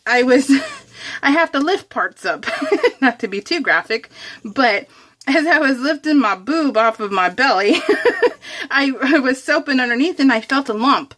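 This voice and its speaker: A woman's voice: high-pitched, animated, unhurried, a bit bright. A woman vlogger chatting casually through a craft project as she works, giggly and self-deprecating, rambling from one thought to the next. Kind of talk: casual chatty craft tutorial, narrated in real time.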